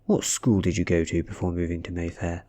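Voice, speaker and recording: A British man playing an irritable hard-drinking male teacher of thirty, stern and defensive yet soft-spoken, in an audiobook reading. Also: sits low, fairly even intonation, fairly quick.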